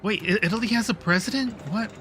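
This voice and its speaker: A man's voice: high voice, fast, sharp tone, slight background noise. A man hosting a livestream, chatting casually with viewers while playing a game. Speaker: livestream host. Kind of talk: casual livestream gaming chat.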